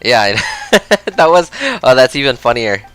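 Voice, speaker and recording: A man's voice: mid-range voice, big pitch swings, a little slow, a bit bright, clean tone, soft background music. A man from the Philippines chatting casually on a game live stream, answering his chat and laughing often.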